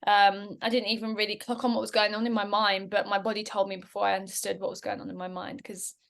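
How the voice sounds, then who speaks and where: A woman's voice: medium pitch, little pitch movement, fast talker, creaky, fairly bright tone. A woman co-hosting a podcast, talking in an encouraging way and often closing with affirming questions. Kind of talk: casual conversational podcast.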